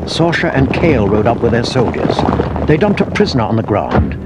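A man's voice: medium pitch, big pitch swings, brisk, heavy background noise. A man reading scripted storybook narration, with film score music and sound effects behind him. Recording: loud orchestral music under the voice.

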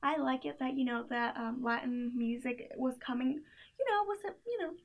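A woman's voice: sits high, moderate pace, a little hiss or hum. A young woman in a small indoor room, chatting casually about songs in a playlist, full of fillers and giggly asides. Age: young adult. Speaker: playlist reviewer. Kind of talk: casual chat.

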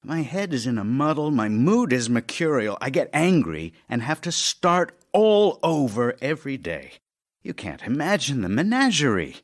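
An actor playing a witty, weary, affectionate middle-aged playwright in an audio drama of love letters, with a dry, teasing, melancholy and theatrical manner. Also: male voice, mid-range voice, very animated, normal speed. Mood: harried, exasperated.

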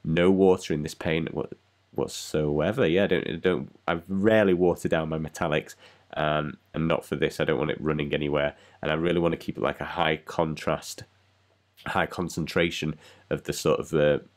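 Deep-voiced male tutorial host, congested from a cold, rambling and easygoing. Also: steady tone, moderate pace. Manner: rambling, self-deprecating, chatty. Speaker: miniature painting channel host. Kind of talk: casual painting tutorial voice-over.

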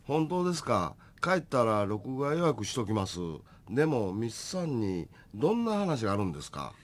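A man's voice: mid-range voice, big pitch swings, moderate pace, close to an everyday voice. A man playing an affable repair company boss with a Kansai accent in a comic radio drama.

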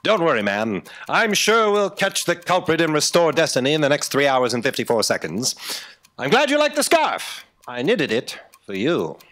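Guy playing calm quirky detective man, formal and deliberate, pitch moves around a lot, comedy audio play. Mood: earnest. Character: male detective, calm, earnest, eccentric knitter. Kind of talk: staged audio drama comedy acting. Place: theatre stage with live audience. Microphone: stand microphone.

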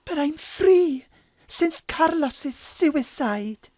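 A woman's voice: high-pitched, expressive, extremely slow, mellow, dry recording, heavily acted. A woman playing a melodramatic gangster's widow, desperate and devoted, in an audio drama. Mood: defiant.

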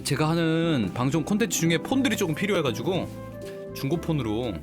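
A man with a fairly high voice and very animated melody hosts a game stream. He chats casually and reads along playfully, with music behind him. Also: brisk. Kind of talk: casual live game commentary and read-aloud.

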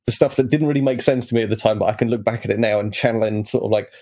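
A man's voice: on the low side, rapid-fire, warm tone. A British man in his thirties chatting casually as a player on a podcast. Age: 30s. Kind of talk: casual chat.

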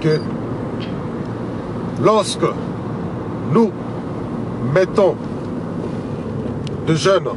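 A man with a Congolese accent giving a political monologue in a halting, deliberate way, pausing between short phrases. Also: high voice. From Democratic Republic of the Congo. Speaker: political speaker. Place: inside a moving car on a motorway, while driving. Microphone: camera on passenger side. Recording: loud car and road noise.

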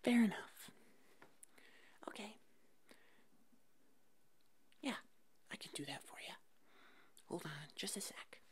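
A woman doing an ASMR roleplay as an easygoing puppet hairdresser, speaking in a soft, breathy whisper with short, reassuring phrases. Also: medium pitch, expressive, slow, pauses often. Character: fuzzy monster puppet hairdresser, attentive and easygoing.

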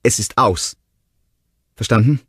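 Ein Mann spricht eine junge Frau, verletzt und wütend, mit schrillen, flehenden Ausbrüchen. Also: male voice, big pitch swings, normal speed, breathy edge, no room sound, clean studio sound, exaggerated voice. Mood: furious. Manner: shrill, pleading outbursts. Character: young woman, hurt and furious.

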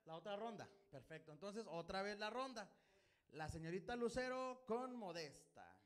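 Mexican male game show host, jokey, very expressive intonation, small studio. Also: high-pitched, normal speed. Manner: joking, teasing, lively. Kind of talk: playful game show hosting. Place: small studio set, indoors. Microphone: handheld mic.

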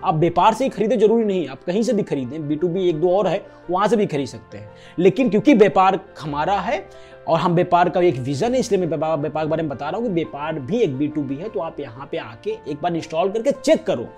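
Indian male founder-host, fairly high but very mellow voice, earnest and repetitive direct appeal, light music underneath. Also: animated, fast. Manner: earnest, repetitive, punctuates with tag questions. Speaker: app founder and video host. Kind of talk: direct-to-viewer explainer and promotional appeal. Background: background music.

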